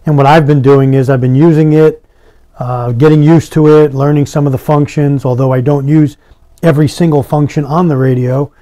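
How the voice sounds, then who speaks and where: Man, soft mellow tone, casual reviewer, halting plain-spoken delivery, dry sound. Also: on the high side, steady tone, no echo. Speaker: product reviewer. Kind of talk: casual unscripted product review.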